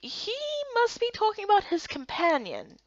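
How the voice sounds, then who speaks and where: Young female narrator-heroine for a visual novel, lively and theatrical, highly varied intonation. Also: normal speed, no room sound, muffled recording. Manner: animated, theatrical. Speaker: voice actor narrating and playing the heroine. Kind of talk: expressive dramatized reading of a visual novel game.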